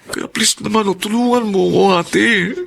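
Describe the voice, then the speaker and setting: A man's voice: high voice, moderate pace, vocal fry, fairly bright tone, husky, exaggerated voice. An actor playing an apologetic, pleading younger brother and new father in a Tagalog radio drama. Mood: pleading, desperate. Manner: pleading, emotive.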